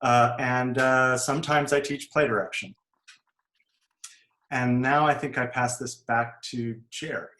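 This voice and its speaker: A man who teaches acting, introducing himself on a video call in a relaxed, lightly halting way. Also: mid-range voice. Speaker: acting professor.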